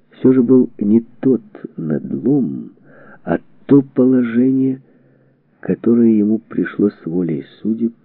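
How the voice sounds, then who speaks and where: A man narrating a historical book from a script, with measured, deliberate phrasing and pauses. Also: fairly low pitch, gravelly, dry recording. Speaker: audiobook narrator. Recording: band-limited, tinny phone-like sound.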